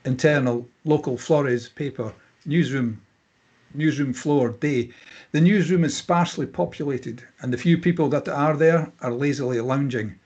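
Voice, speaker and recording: A man with a husky voice reading scripted stage directions as narrator at a table read. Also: mid-range voice, moderate pace, darkish tone, lo-fi sound.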